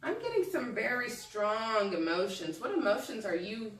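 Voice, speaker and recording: A woman teacher reads to young children in an echoey room, recorded from some distance. Her voice is fairly high and very animated in pitch, and she takes her time, stopping to pose questions as she goes. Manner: prompting listeners with questions, measured reading. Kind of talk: picture-book read-aloud with think-aloud questions.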